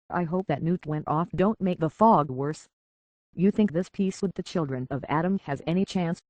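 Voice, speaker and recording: An actor voices a plainspoken survivor of a rough harbor town for a video game. The delivery is terse, guarded and folksy. The sound is dry and slightly dull. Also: medium pitch, a little mellow, no echo, lightly acted. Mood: guarded, stern. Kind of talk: video game character acting.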